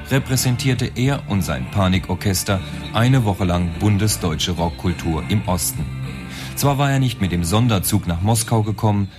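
Deep male reporter reading a polished radio piece over clear music. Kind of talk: scripted archive radio report.

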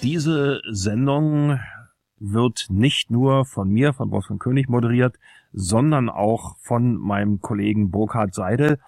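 Man from East Berlin hosting radio, very slow and measured, dry close sound. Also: mid-range voice, gravelly, a little mellow, no room sound, soft background music. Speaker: radio host. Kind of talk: radio talk introduction.

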